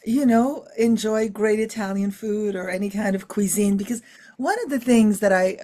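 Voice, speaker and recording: A woman's voice: medium pitch, a little mellow. A middle-aged woman, a filmmaker being interviewed, talking expressively about family with many fillers and restarts. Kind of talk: casual interview talk.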